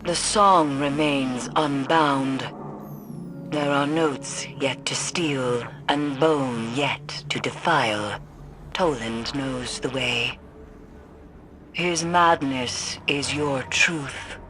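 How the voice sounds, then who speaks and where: A woman playing a haunted, grim, mystical warrior seer in a video game, solemn and intense, often hushed and breathy. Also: a bass voice, very slow, over music, full character voice. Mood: sinister, hushed. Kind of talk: scripted dramatic game voice acting. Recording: noisy game cutscene ambience.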